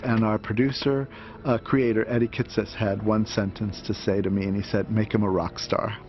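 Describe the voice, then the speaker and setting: A man's voice: fairly low pitch, level tone, normal speed. A man giving an interview as a costume designer, recounting his work. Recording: dull sound under clear music.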